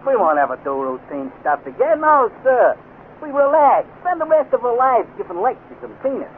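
A man's voice: very high voice, big pitch swings, moderate pace, narrow, tinny audio. An actor playing a brash, joking spaceman sidekick with slangy, folksy talk in a 1950s radio serial. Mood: jokey, boastful. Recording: thin, faintly noisy 1950s radio recording.

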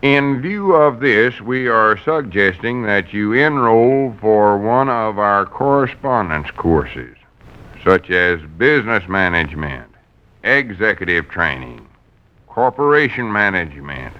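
An actor playing an excitable, gullible, vain country man with a folksy rural Southern drawl in old radio comedy. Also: fairly low pitch. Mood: self-satisfied. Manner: folksy drawl, halting when reading aloud. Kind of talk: 1940s radio comedy acting. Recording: muffled vintage radio recording.

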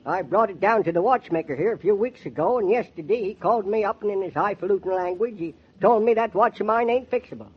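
An actor playing a crusty, folksy old farmer with a rural American accent and a cantankerous drawl in a 1940s radio drama. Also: male voice, high-pitched, quick pace, few pauses, husky. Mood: wistful grumbling. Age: older. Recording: dull, muffled 1940s radio recording.

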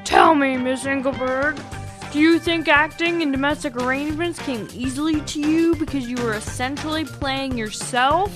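A woman's voice: high voice, normal speed, over music, voice pushed up, close to an everyday voice. A woman playing a pushy, probing press reporter, reading novel dialogue as a string of insistent questions. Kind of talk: acted read-aloud of novel dialogue.

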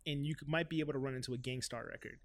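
A man in his thirties from South Florida with a high-pitched voice, chatting loosely, with lots of "like" and "right?". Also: fairly even intonation, racing. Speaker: guest musician. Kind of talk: casual podcast conversation.

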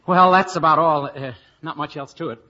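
An actor playing a glib, easygoing American insurance detective in a 1950s radio drama, wisecracking and hedging. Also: male voice, fairly high pitch, steady tone, moderate pace, sharp tone. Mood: sheepish. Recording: muffled old radio broadcast.

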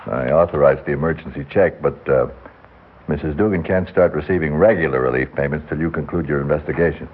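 An actor playing a firm, by-the-book American welfare supervisor, measured and authoritative, in a 1960s radio drama. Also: male voice, rumbling low, phone-quality sound, a little hiss or hum. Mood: firm, reasoning.

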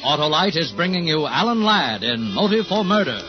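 A man's voice: a little high, sing-song, moderate pace, loud music behind the voice. A man announcing a 1950s radio program in a grand, suspenseful, formal style. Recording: dull vintage radio broadcast.

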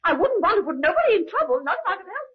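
A dull old radio recording of a chatty waitress. Her high voice swoops up and down as she muses and gossips, always deferential, in a working-class manner. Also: sing-song, racing. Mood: chatty, musing. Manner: musing, hesitant, gossipy. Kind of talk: old-time radio drama acting.

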